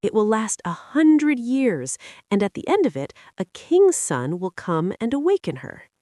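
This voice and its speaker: A woman's voice: fairly high pitch, sing-song, a little slow, mellow, dry recording, clean studio sound, pitched above the performer's own voice. Woman voicing an earnest young fairy, raised voice. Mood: urgent reassurance.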